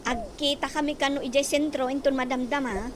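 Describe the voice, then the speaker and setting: An actress in a radio comedy drama playing a fretful young village woman who talks in quick, excitable exclamations. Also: sits high, noisy background, lightly acted.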